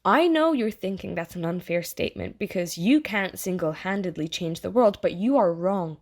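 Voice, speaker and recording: A woman playing a chatty, falsely friendly AI that lives in someone's mind, coaxing and quietly menacing in an audio theatre monologue. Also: fairly low pitch, lively intonation, moderate pace. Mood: earnest, pressuring. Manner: chummy, casual, coaxing, passive-aggressive.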